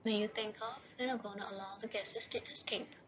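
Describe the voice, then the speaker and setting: A woman lecturing over slides for a university class, often checking in with "right?" and slowing down to read slide headings. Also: medium pitch, fairly even intonation, fairly bright tone. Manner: repeats "right?" and "okay?" as checks. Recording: dull lo-fi voice-over with faint hiss.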